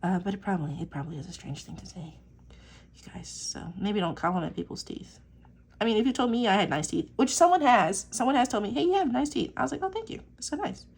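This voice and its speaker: A young woman with a husky, gravelly voice rambles quickly through casual chat as she works on a drawing. Her pitch sits a bit low but swings widely. She tosses in fillers and the odd swear. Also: sing-song, a little mellow. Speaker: artist vlogger.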